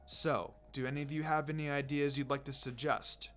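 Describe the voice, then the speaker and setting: A narrator voicing a calm, lazy male ninja teacher who instructs in a measured, low-key way. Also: soft background music, close to an everyday voice. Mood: calm, instructive.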